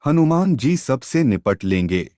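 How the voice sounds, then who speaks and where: Guy playing a calm godly prince in an old myth story, gentle, slow, dry sound. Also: mid-range voice, dry recording. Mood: calm, reassuring. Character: calm reassuring divine prince addressing his companions.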